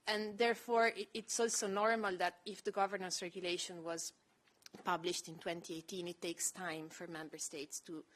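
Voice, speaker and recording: A woman with a low voice giving a measured, explanatory panel presentation with frequent fillers. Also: level tone, fairly bright tone. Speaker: panel speaker presenting project research.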